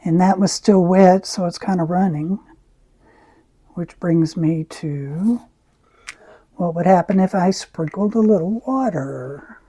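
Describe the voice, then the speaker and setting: A woman with a raspy voice, who often clears her throat as she chats through an art tutorial. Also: fairly low pitch, low-quality recording. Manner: slow, soft-spoken, frequent throat clearing. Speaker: art channel host. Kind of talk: casual art tutorial chat.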